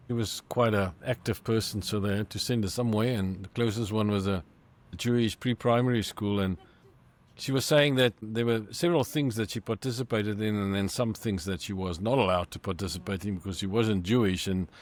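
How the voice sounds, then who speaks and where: A man's voice: lowish voice, brisk. A man hosting an interview podcast, earnestly framing questions and repeating words as he goes. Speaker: podcast host.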